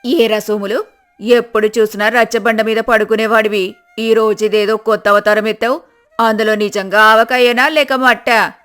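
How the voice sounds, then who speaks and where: A woman's voice: on the high side, fairly even intonation, normal speed, fairly bright tone, smooth, soft background music, lightly acted. A woman voicing a village official's wife in a folk tale, a teasing and bossy customer. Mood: mocking. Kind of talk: dramatized folk tale acting.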